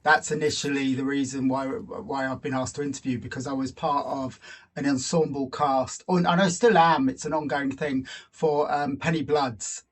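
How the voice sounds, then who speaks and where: A man speaking as an interview guest, a voice actor chatting casually and pausing to find his words. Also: mid-range voice, steady tone.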